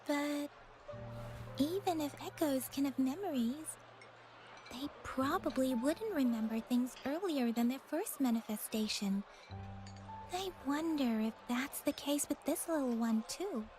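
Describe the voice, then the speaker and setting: A young, scholarly female game character. She speaks in a high, composed voice and explains things thoughtfully. Light music runs behind her. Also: expressive, unhurried, a little mellow. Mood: pondering. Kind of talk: video game dialogue acting.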